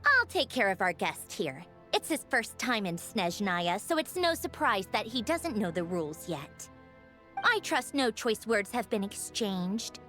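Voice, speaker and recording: A woman's voice: medium pitch, big pitch swings, measured pace, sharp tone, faint music behind. A woman playing a haughty, refined noblewoman in a video game, dryly gracious with a teasing manner. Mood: coolly authoritative. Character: haughty young noblewoman villain-turned-ally, dryly gracious.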